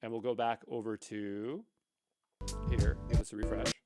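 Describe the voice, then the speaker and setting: A man's voice: lowish voice, flat delivery, normal speed, loud music behind the voice. A man giving an unscripted coding tutorial, thinking aloud in a rambling way with mildly exasperated asides. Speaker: tutorial instructor.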